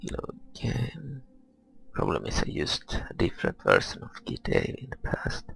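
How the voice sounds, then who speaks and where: A man giving casual gameplay commentary, thinking aloud in a hesitant, grumbling, husky and gravelly way. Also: low voice, huge pitch swings, normal speed, fairly bright tone, low-quality recording. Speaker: gamer recording gameplay commentary.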